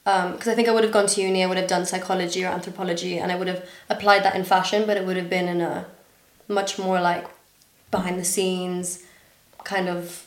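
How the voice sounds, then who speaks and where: A woman's voice: on the low side, flat delivery, a little fast. Chatty woman with an international school accent. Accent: international school, partly American. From Dubai. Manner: chatty, giggly, trailing fillers. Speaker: podcast guest. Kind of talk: casual chat. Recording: echoey room.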